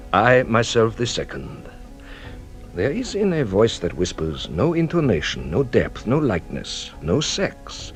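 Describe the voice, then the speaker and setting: An actor playing a courtly, shrewd French police detective in a radio drama, probing and ceremonious with a teasing, theatrical manner. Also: male voice, mid-range voice. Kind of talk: British radio drama acting.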